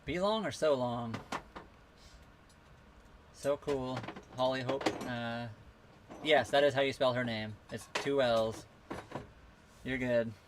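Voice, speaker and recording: A man with a voice of ordinary pitch talks loosely and easily, wandering from one answer to the next as he reads out chat questions. He streams from a phone aboard a sailboat at a marina, with a faint hum in the background. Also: takes it slowly, long pauses. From western Canada. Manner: easygoing, rambling, reading out and answering chat comments. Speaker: livestream host answering viewer questions. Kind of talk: casual live Q&A chat.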